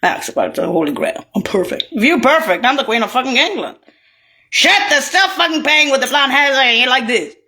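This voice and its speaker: A woman with a slightly high voice delivers a scattered, profane monologue. Her pitch leaps and dips widely from one phrase to the next, and she breaks into sudden shouts. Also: big pitch swings, normal speed. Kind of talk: rambling solo monologue.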